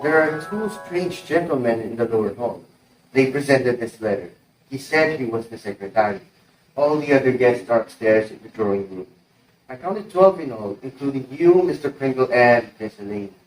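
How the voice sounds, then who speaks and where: A teenage boy playing a formal, deferential butler in an amateur play, announcing politely and with measured words. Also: medium pitch, expressive, slight background noise, natural voice. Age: teens. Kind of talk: amateur stage-play acting. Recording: roomy, distant mic, music behind.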